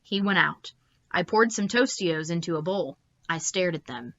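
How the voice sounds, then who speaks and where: A woman's voice: fairly low pitch, expressive, normal speed, a bit bright. A woman reading a children's bedtime story aloud expressively, on a slightly dull recording.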